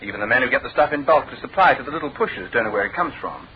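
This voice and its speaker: An actor playing an astute, authoritative English detective chief in a classic radio drama, probing in a clipped, incisive way. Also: male voice, medium pitch, expressive, very fast, crowd noise, lightly acted. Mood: incisive, assured. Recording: tinny band-limited old radio recording.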